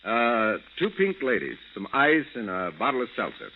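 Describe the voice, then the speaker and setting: An actor playing a confident, wisecracking adventurer, brisk and wry, in a 1940s radio serial. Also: male voice, medium pitch, sing-song. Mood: suave, wry. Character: confident, wisecracking male adventurer, leader of the pair. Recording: noisy, tinny old-time radio recording.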